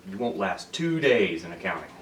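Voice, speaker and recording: Man playing a lively, mischievous young henchman. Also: low-pitched, normal speed. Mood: teasing. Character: mischievous, friendly henchman in his twenties. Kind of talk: script table read, comedy. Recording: echoey room, distant mic, faint noise.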